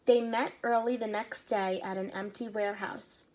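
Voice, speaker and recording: A woman teacher reading a picture book aloud to children, with expressive pacing and short explanatory asides. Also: mid-range voice, normal speed, low-quality recording.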